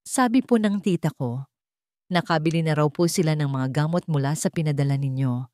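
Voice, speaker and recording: A woman's voice: deep voice, fairly quick, mellow, no echo, clean studio sound, natural voice. A woman voicing a shy, nervous, respectful young housemaid in a romance story.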